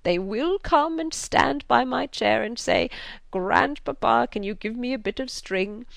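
A woman voicing a gentle, fretful, doting old father in an audiobook, plaintive and hesitant, rambling along. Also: a little high, a little slow, vocal fry, no room sound, pitched above the performer's own voice. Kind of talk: audiobook character reading of a classic novel.

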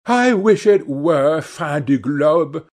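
A man voicing a world-weary young gentleman in a storybook reading, sighing and wistful. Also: on the high side, expressive, takes it slowly, creaky, pitched above the performer's own voice, full character voice.

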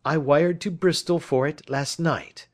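A man's voice: a little high, a little slow, pitched above the performer's own voice, close to an everyday voice. A man reading the part of a brilliant Victorian detective, who lectures in a precise, emphatic way. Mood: lecturing.

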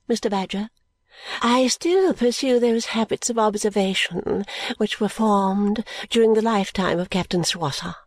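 Stately, opinionated matron in an audiobook, a touch raised and measured, read by a woman. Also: fairly high pitch, a little mellow, no echo. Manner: stately and self-assured, lecturing. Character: middle-aged woman, proud, opinionated, thrice-married. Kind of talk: audiobook reading.

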